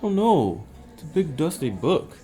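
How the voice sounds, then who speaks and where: An actor plays an easygoing college friend in an audio drama. The delivery is casual and skeptical, with extremely lively pitch. Music plays clearly behind the voice. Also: measured pace. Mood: flippant, curious.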